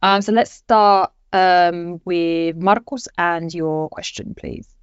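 A woman's voice: lowish voice, slow, smooth, dry recording. A woman hosting a panel podcast, introducing guests with upbeat, brief affirmations. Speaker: podcast host. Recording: dull dry sound, faint music.

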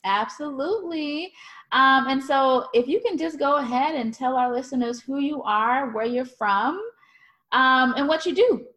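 Woman, podcast host, fairly high voice, friendly, um fillers, interview questions. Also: a little slow, fairly bright tone. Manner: friendly, many um fillers and mm-hmms. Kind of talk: scripted show intro, then interview.

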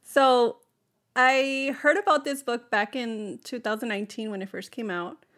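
A woman co-hosting a casual podcast, rambling through her story with fillers and restarts. Her voice sits a touch high and stays conversational. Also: measured pace, a bit bright. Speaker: podcast co-host.

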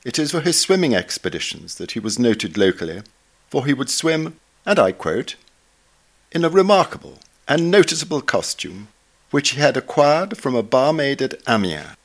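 A man reading a scripted obituary aloud as narrator, in a measured, formal manner. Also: mid-range voice, sing-song. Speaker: reader, narrator.

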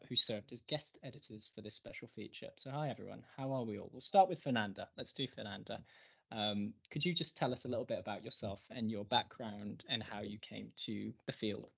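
Male interviewer, bright but husky voice, enthusiastic podcast host, slightly dull lo-fi sound. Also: lowish voice, fairly quick. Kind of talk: conversational podcast hosting.

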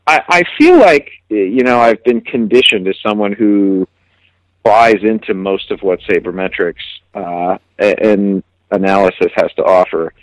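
A man giving casual sports analysis as a guest, reflective and halting, repeating words as he thinks. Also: lowish voice, no echo, poor audio.

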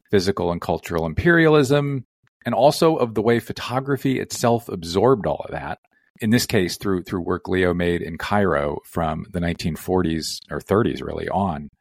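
Lively-voiced male podcast host. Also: fairly low pitch, no echo, studio quality. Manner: lively, questioning, some repeated restarts. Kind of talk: podcast interview and read sponsor spots.

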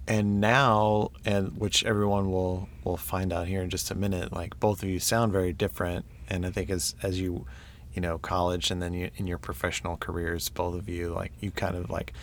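A man's voice: sits low, little pitch movement, normal speed, a little hiss or hum. A man co-hosting a travel podcast, easygoing and laughing along, with lots of "like"s. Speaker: podcast co-host. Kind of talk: casual podcast chat.

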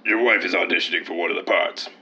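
A man's voice: low-pitched, steady tone, fast talker, sharp tone. An actor playing a slick but hemming and hawing casting man in an old-time radio comedy. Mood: apologetic. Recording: noisy, dull old radio sound.

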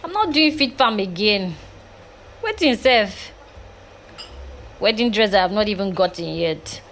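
Bright, sassy Nigerian bride-to-be. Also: female voice, mid-range voice, lively intonation, measured pace, slight background noise. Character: sassy, cheeky bride-to-be best friend. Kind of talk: gossipy audio drama dialogue.

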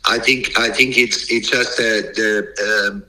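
A man's voice: on the low side, fairly even intonation, pushed and bright, low-quality recording. A middle-aged German man with a German accent, a breeder and bloodstock agent, talking hesitantly with many fillers while his voice gives out. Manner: halting, many fillers and restarts. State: losing his voice.

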